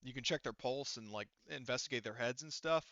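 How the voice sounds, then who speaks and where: A man narrating a tabletop game as game master, casually and haltingly, with informal, sweary phrasing. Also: mid-range voice, bright, forceful tone, lo-fi sound.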